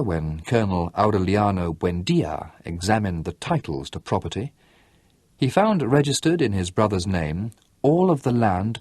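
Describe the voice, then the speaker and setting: A man narrating a literary novel aloud in a measured storytelling delivery. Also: on the low side, a little mellow, husky, dry recording, clean studio sound. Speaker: audiobook narrator.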